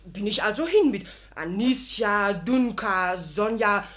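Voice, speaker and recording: A woman's voice: medium pitch, expressive, slow pace, fairly bright tone. An actress playing a chatty, saucy young serf maid in a German radio drama, gushing and rambling as she talks. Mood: gossipy, excited. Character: cheeky, chatty young serf maid, loyal and fun-loving.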